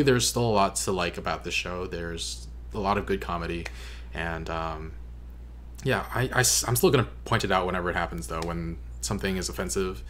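A young man giving casual reaction commentary as host, speaking earnestly and haltingly. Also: low voice, fairly bright tone, a little hiss or hum. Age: young adult. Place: small bedroom, indoors. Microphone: desk microphone.

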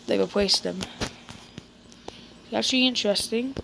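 A woman chatting in character during a casual game roleplay, breaking into excited exclamations. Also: medium pitch, moderate pace, gravelly, noisy background. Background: loud game music.

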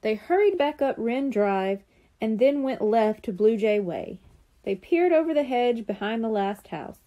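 Animated higher-pitched woman reading children's fiction. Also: a little slow, highs cut off. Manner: animated, acts out dialogue. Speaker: audiobook reader. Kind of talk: expressive children's storybook narration.

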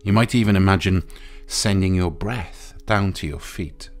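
A man guiding a meditation in a soothing, unhurried way, pausing between short phrases. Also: low-pitched. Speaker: meditation guide. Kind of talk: guided meditation. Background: music.

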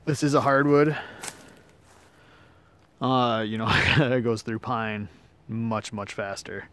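A man's voice: medium pitch, slow, fairly bright tone, a little hiss or hum. A man giving a casual hands-on demonstration in a laid-back, plain-spoken, hesitant way, recorded outdoors on a handheld camera. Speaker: amateur product demonstrator. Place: outdoors in woodland.

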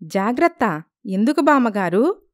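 A woman's voice: fairly high pitch, very animated, measured pace, no echo, studio quality. A woman voicing an anxious young wife in a cartoon story, polite and plaintive. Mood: worried.

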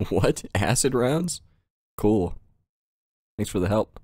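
A man's voice: lowish voice, lively intonation, slow, a little mellow, slightly rough, no room sound, studio quality. A man giving casual, joking gameplay commentary in a slangy, sweary way, as host of a gaming video.

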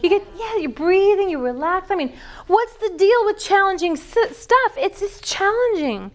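A middle-aged woman teaching a yoga teacher training class, lively and quizzing her students with questions. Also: extremely high pitch, moderate pace. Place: large wooden-floored yoga studio. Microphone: far camera.

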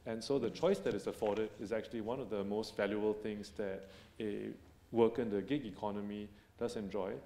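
A man in his thirties on a conference panel, making a measured argument into a handheld mic and restating his points as he goes. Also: on the low side, steady tone, mellow. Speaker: company panelist. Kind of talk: panel discussion. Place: conference stage in a hall. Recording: echoey hall.

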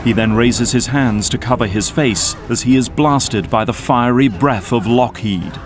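A man's voice: mid-range voice, a little slow, over music. A man narrating an action audio drama from a script.

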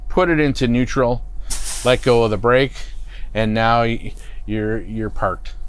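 A man's voice: medium pitch, smooth. A middle-aged man giving a plain, stop-start how-to walkthrough from the driver's seat of a parked motorhome, with fillers and restarts, on a lapel mic. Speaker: product expert. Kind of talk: unscripted how-to demonstration. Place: inside a parked motorhome cockpit.

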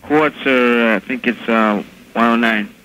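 A man's voice: medium pitch, a little slow, sharp tone. A man working at a grocery store, politely and patiently helping a caller with some hesitation. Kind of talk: customer service phone call. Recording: phone call with clear line noise.

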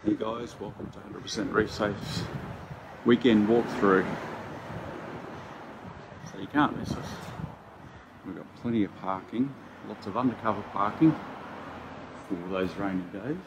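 A man's voice: fairly low pitch, background noise. An Australian man, the owner, giving a casual walkthrough of his store and listing products one by one. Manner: slow, unhurried listing of items one by one. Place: indoor retail store.

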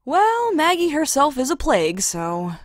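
A woman's voice: high voice, wildly swooping pitch, measured pace, dry recording, studio quality, exaggerated voice. A young woman playing an exasperated, earnest peacemaking sister in an audio drama, often pleading. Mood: exasperated, reasoning.

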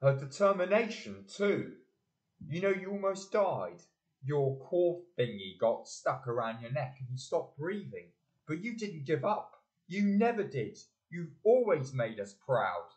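A man's voice: a little high, very animated, a little slow, darkish tone, rough recording. An older man playing a proud, encouraging father in a community audio play. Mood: proud, tender. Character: proud, encouraging working-class father, earnest storyteller.

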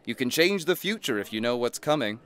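A man's voice: medium pitch, big pitch swings, fairly quick, fairly bright tone, smooth. An actor playing a cynical, greedy rich businessman in an audio play, mocking and slickly persuasive. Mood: slick persuasion. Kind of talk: scripted audio play acting.

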